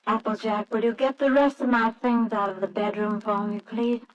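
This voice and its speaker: An actress playing a grieving wife and mother in 1940s radio drama style, speaking in a measured, restrained, plaintive way. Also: fairly high pitch, fairly even intonation, lo-fi sound, a crowd in the background, natural voice. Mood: weary, sad. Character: sad, weary grieving wife and mother, quietly resigned. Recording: noisy old radio drama recording.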